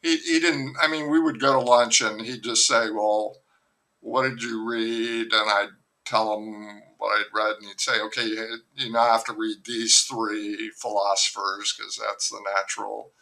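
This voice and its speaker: A man's voice: lowish voice, little pitch movement, measured pace, sharp tone, low-quality recording. An older man, an author being interviewed remotely, telling long rambling stories with frequent restarts. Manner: rambling, self-interrupting storyteller. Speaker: author and guest. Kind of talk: casual interview chat.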